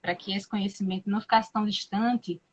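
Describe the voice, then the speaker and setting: Brazilian woman hosting a live chat, mid-pitch, slow and reflective, lots of pauses, kinda muffled lo-fi audio. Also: fairly even intonation, slightly rough. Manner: slow, thoughtful, frequent "né?" and hesitations. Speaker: live-stream host. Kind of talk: casual live-stream interview.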